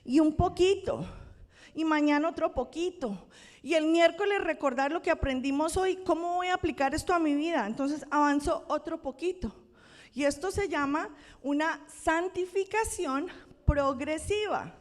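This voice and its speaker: High-voiced Colombian woman preaching. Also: moderate pace. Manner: conversational, checks in with the congregation. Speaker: pastor. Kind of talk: sermon. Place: church sanctuary. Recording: long church-hall reverb.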